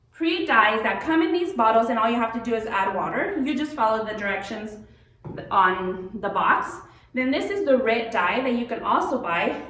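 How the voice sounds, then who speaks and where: Lady doing kids craft instructions, animated highish voice, super echoey room, bit far from mic. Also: slight background noise. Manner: simple step-by-step explaining. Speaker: children's storyteller and craft presenter. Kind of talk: friendly instruction addressed to young children.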